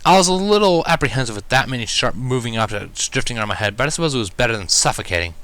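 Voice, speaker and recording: A man reading fan fiction aloud as an animated first-person narrator. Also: medium pitch, racing.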